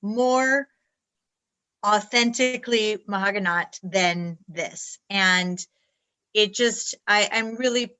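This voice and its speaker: A woman with a bright, forceful tone co-hosts a live stream. She talks casually but haltingly, stopping and restarting her sentences as she goes. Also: mid-range voice, rough recording. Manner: halting, frequent restarts and fillers. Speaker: co-host of a dance talk stream.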